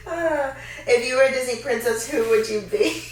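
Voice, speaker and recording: A woman in her thirties co-hosting a casual Q&A, chatty and easygoing, quick to laugh. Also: on the high side. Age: 30s. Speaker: fitness co-host. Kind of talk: casual Q&A chat. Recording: echoey room, mic far off.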